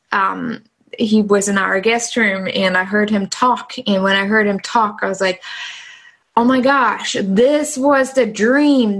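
This is a woman with a German accent telling her life story as a podcast guest. Her voice sits at a middle pitch, and she talks loosely, often restarting her sentences as she goes. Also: fairly bright tone. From East Germany. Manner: chatty, loose, with frequent restarts. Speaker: guest, transformation coach. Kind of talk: casual interview storytelling.

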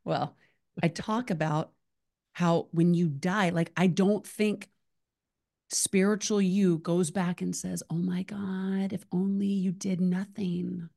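A woman with a low voice hosts a podcast in easy conversation, chatty and prone to rambling tangents. Her speech is loose and enthusiastic, full of asides. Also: fairly even intonation, slow pace, gravelly, mellow. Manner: chatty, rambling, self-described tangent talker. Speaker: podcast host.

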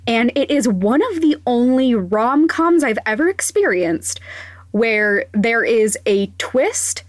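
A woman's voice: on the high side, no room sound. A woman hosting a podcast segment, chatting enthusiastically and laughing as she gives a recommendation. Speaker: podcast host.

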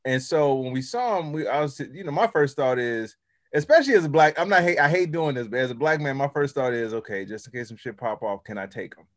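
A man's voice: mid-range voice, lively intonation, brisk. A man hosting a podcast, chatting casually and animatedly with slang and many restarts. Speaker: podcast host. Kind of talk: casual chat.

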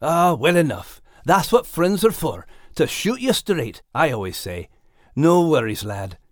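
Man doing a plain-spoken Scottish little dog, very lively. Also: fairly high pitch, unhurried, no echo, studio quality. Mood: advising. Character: small, sturdy, sensible male dog, loyal adventurer. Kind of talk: audiobook character acting.

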